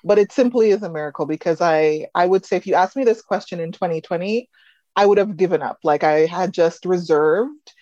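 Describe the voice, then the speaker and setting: A pregnant woman in her late thirties, from Jamaica, chatting as an interview guest in an upbeat, warm way that often breaks into laughs. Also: fairly low pitch, a little fast, no echo, muffled recording. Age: 30s. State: heavily pregnant. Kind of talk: casual interview chat.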